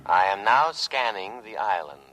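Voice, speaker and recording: A sentient military machine in a vintage radio drama. The voice is lowish and forceful, with formal, precise diction. It is officious and dutiful, solicitous yet unyielding. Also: male voice, expressive, unhurried, poor audio. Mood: firm, officious. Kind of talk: 1950s radio drama acting.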